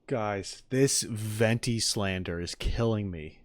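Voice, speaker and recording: A man's voice: fairly low pitch, slow, dry recording, clean studio sound. A man giving casual, joking reaction commentary as a streamer, informal and playfully indignant.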